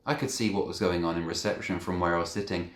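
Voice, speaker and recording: A man reading a children's novel aloud as an expressive narrator. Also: sits low, quick pace. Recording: echoey room.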